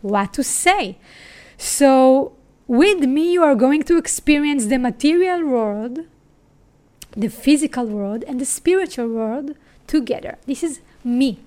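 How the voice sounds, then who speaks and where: High-voiced Israeli woman, slow and earnest. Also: lively intonation, mellow. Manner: earnest, spiritual, emphatic. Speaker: sex coach and podcast host. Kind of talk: personal monologue.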